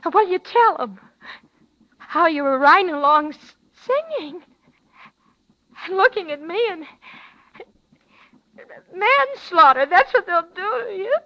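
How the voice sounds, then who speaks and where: A woman's voice: very high voice, sing-song, slow pace, pauses often, no room sound, heavily acted. An actress playing a frightened, clinging young woman in a 1950s radio thriller, speaking in hesitant, repeated, broken phrases. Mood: tearful, worried. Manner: hesitant, repetitive, breaking phrases. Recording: muffled, noisy 1950s radio recording.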